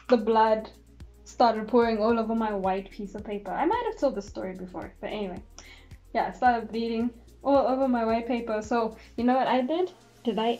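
Young woman, congested, higher-pitched, mellow, giggly casual storytelling, camera mic. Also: highs cut off. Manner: chatty, giggly, acts out quotes. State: congested, losing her voice. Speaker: vlogger. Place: bedroom, indoors.

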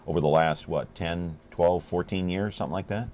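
Male TV host in his 50s, low voice, chatty and upbeat, lapel mic in a studio. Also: fairly even intonation, moderate pace, a little mellow, a little hiss or hum. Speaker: TV talk show host. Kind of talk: local TV talk show hosting.

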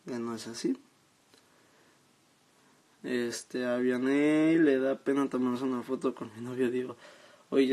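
Male, late teens, Mexican accent. Unhurried, laid-back live streamer with a lowish voice, halting and slangy. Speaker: social media live streamer. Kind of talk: casual chat, reading out and answering viewer comments. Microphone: phone at arm's length.

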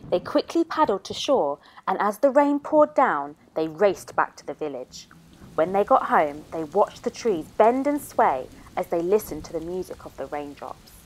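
A woman narrating a children's picture book in an expressive, clearly paced read-aloud manner for young listeners. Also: fairly low pitch, poor audio. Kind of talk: expressive scripted storybook narration.

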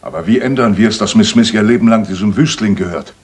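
An actor playing a desperate, hot-headed rich gentleman in a 1980s German radio drama. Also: on the low side, fast talker, breathy edge. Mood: grim, vengeful. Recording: noisy retro radio recording.

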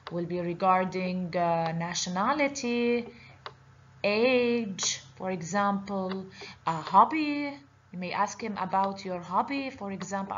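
A woman's voice: medium pitch, a bit bright. Woman teacher going thru a language lesson step by step, kinda lo-fi voiceover w/ a bit of hiss. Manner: patient, explaining step by step with frequent "okay?". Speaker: Arabic teacher. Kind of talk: online language lesson over slides. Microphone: voice-over on slides. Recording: slightly dull lo-fi voice-over with faint noise.

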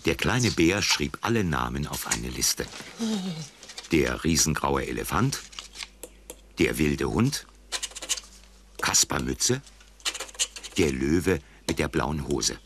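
A man's voice: rumbling low, sing-song, takes it slowly, creaky, pauses often, noisy background. A man narrating a scripted children's story as its storyteller. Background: prominent music.

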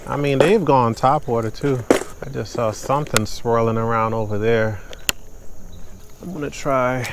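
A young man vlogging outdoors from a kayak on a lake, talking excitedly to the camera while fishing, recorded on a body-worn action camera. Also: medium pitch, a little slow, very noisy.

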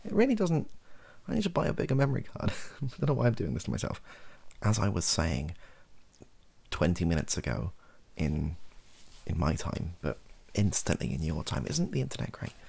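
A British man in his thirties rambling softly and hesitantly in an improvised, self-deprecating ASMR style, with a gravelly, husky voice. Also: sits low, huge pitch swings, moderate pace. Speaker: video host, ASMR creator. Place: small bedroom office, indoors.

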